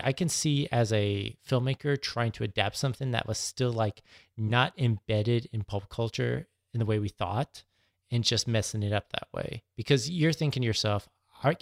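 A man with noticeable vocal fry and a mid-range voice. He talks casually as a podcast co-host discussing movies, rambling a little as he explains his thinking. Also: medium pitch.

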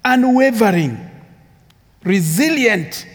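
A man's voice: high-pitched, animated, slow. A man from Uganda with a Ugandan English accent, preaching an earnest sermon as a guest speaker, repeating phrases and building emphasis. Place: church sanctuary with long reverb. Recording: reverberant church hall.

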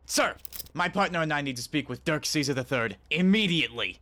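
A man playing a righteous chimp police detective in a comic noir audio drama, with dramatic, forceful delivery. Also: fairly high pitch, lively intonation, a little fast. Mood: stern. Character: hard-boiled animal police detective, a chimp, righteous and theatrical.

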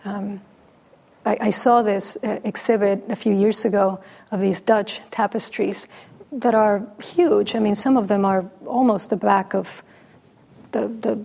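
Reflective woman with slight vocal fry. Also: medium pitch, fairly even intonation, a little slow, warm tone. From Mexico. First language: Spanish. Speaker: dharma teacher. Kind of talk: dharma talk with storytelling.

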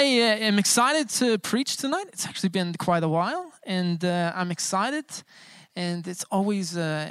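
Gravelly, dry-sounding pastor in his thirties. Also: fairly high pitch, fairly bright tone, no room sound. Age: 30s. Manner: casual and joking, many filler sounds. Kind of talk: informal bilingual sermon.

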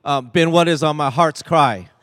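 A man's voice: a little high, normal speed, fairly bright tone. A man interpreting a woman's sermon in first person in a church, with light humor. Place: church hall. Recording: long hall reverb.

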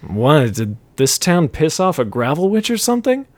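A man voicing a small male demon who speaks calmly and amiably while egging others on. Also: fairly high pitch, normal speed, no room sound, pitched above the performer's own voice. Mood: sly.

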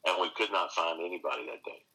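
A low-pitched man with a forceful, slightly husky voice, speaking as a pastor in an interview. He talks earnestly and keeps restarting his sentences, then leans hard into his key points. The delivery sounds like an unscripted conversation. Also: quick pace, poor audio. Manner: earnest, many restarts, animated emphasis. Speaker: pastor and seminary teacher.

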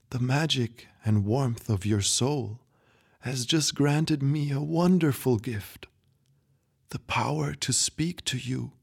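A man voicing a frail, dying old grandfather who speaks softly, slowly and tenderly in a storybook reading. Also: medium pitch, gravelly, husky, exaggerated voice. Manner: soft, hushed, slow and tender.

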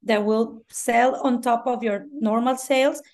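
A woman from Mexico with a Mexican Spanish accent, Spanish as her first language, chatting on a podcast and often pausing to search for words. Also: on the high side, steady tone, a little mellow. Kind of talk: casual podcast chat.

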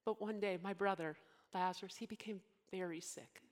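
A young woman playing Mary in a dramatic monologue, speaking emotionally and haltingly, often sinking into soft whispers. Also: mid-range voice, lightly acted. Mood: overwhelmed, reverent. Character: devoted young woman, biblical Mary, deeply moved.

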